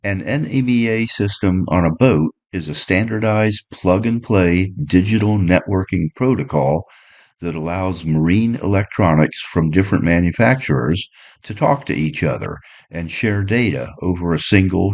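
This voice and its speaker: A man's voice: deep voice, expressive, a little slow, a little mellow, no room sound. A man giving a practical how-to narration in a plain, step-by-step way, like a boat owner explaining his gear. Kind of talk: practical how-to narration, partly read, partly off the cuff.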